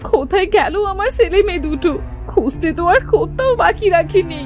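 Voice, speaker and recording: A woman's voice: very high-pitched, lively intonation, fairly quick. A woman playing an anxious, wailing mother of two children in a children's cartoon. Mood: tearful despair. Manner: wailing, fretful. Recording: music behind the voice.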